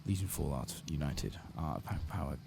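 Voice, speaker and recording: A man speaking softly and often whispering as he murmurs short asides to himself during an ASMR game playthrough. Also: extremely low pitch, lively intonation, fairly quick, vocal fry, noisy background. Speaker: game streamer, ASMR host.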